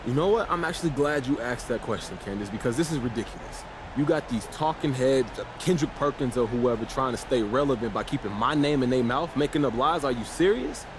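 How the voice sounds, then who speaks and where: An actor playing a cocky, defiant young American basketball star, casual and boastful in a sports game's post-game interviews. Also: male voice, mid-range voice, lively intonation, a little fast, hardly any pauses, background noise, close to an everyday voice. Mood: irritated, self-assured. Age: young adult. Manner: boastful, self-assured, casual.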